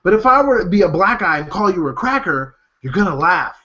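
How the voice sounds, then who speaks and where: A man's voice: high voice, big pitch swings, fairly quick, bright, forceful tone. Blunt, profane male podcast host. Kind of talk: casual chat. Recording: dull lo-fi podcast audio.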